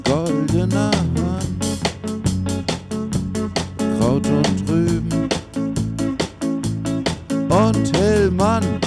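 A woman delivering spoken-word verse in drawn-out, chanted short phrases. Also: lowish voice. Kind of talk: slow spoken-word song intro, half sung. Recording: loud guitar music over voice. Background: plucked guitar music.

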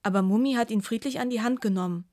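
A woman's voice: fairly high pitch, quick pace, a little mellow, no echo, studio quality, close to an everyday voice. A woman playing a former household girl in a radio play, reminiscing fondly as a witness. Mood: reflective.

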